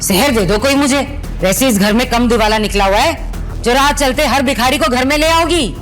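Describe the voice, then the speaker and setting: High-pitched, bitter, scolding Indian stepmother played by an actress. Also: moderate pace, soft background music, close to an everyday voice. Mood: angry, scornful. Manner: scolding, sarcastic, melodramatic. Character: shrill, bitter, sarcastic stepmother, scornful of the poor. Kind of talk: Hindi audio drama acting.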